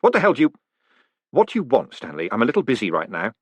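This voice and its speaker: A man voicing a panicked young male con man who talks in frantic, high-strung bursts. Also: wildly swooping pitch, quick pace, breathy edge, no echo, full character voice. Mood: irritable.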